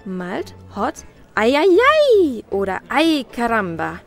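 A woman playing a young, brisk, officious cinema counter clerk who rattles off sales jargon in a comedy cartoon sketch. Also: a little high, huge pitch swings, faint music behind, exaggerated voice. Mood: deadpan. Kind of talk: comedy audio sketch acting.

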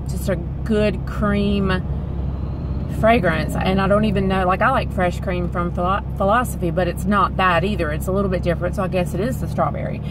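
A middle-aged woman chatting while she drives, retelling conversations with quoted asides, filmed from the dashboard. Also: medium pitch. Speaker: vlogger. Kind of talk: casual car vlog chat. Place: inside a car, driving. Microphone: camera mounted on dashboard. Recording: camera at a distance, loud road noise. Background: car and traffic noise.

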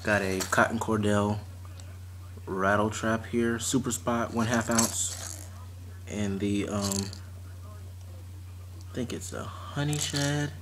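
A young man with a fairly low voice talks in an offhand, halting way, like a casual unboxing vlog. The recording is very noisy, made at home on a camera mic. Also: fairly even intonation. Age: teens to young adult. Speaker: vlogger showing fishing tackle. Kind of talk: casual unscripted unboxing chat. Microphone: camera or phone mic at arm's length. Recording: noisy amateur recording with clicks and rustling.

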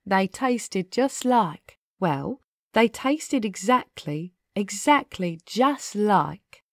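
A woman narrating a rhyming children's story aloud in an expressive, deliberate, rhythmic way. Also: mid-range voice, no room sound, studio quality. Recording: music behind the voice. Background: music.

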